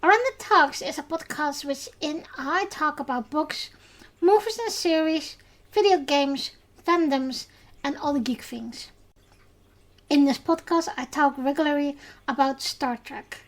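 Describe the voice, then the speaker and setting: High-voiced Dutch woman podcast host. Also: unhurried. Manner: hesitant, many filler sounds. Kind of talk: casual podcast chat.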